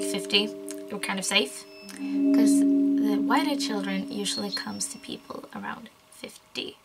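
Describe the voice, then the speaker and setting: A woman's voice: medium pitch, rough recording. A young woman from Sweden with a Swedish accent, rambling casually through a spooky tale in a vlog and dropping often into whispers. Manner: chatty, rambling, slips into whispers and giggles. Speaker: YouTuber. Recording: loud spooky background music.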